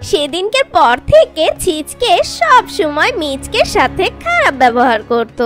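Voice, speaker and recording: A woman's voice: sits high, a bit bright. A woman reading scripted narration for a children's cartoon story.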